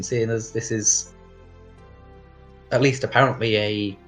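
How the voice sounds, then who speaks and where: A man narrating casually as game master, improvising and thinking aloud in a halting way. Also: mid-range voice.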